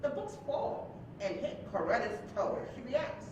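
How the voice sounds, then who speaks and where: A woman's voice: on the high side, very animated, unhurried, a little mellow, dull audio. A woman reading stage directions aloud as scripted narration at a live staged play reading. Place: large echoey room, live staged reading. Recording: very echoey live hall, distant mic, noisy.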